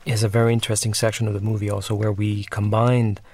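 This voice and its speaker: A man, the film's director, talking through a commentary track and often pausing and restarting as he searches for words. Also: on the low side, little pitch movement, darkish tone. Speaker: film director.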